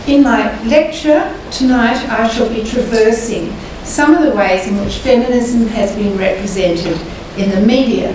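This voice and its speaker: An older Australian woman with a croaky voice gives a formal public lecture in a measured, deliberate way, pausing often to hesitate. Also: medium pitch. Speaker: guest lecturer. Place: large lecture hall. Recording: echoey hall, mic far off, noisy.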